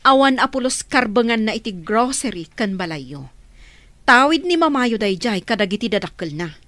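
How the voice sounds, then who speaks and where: A woman's voice: on the high side, big pitch swings, normal speed, a bit bright, dry recording. Woman playing earnest, caring Flora. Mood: concerned. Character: caring woman named Flora, recently returned, concerned for orphans. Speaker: actor in radio drama. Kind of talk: radio drama acting.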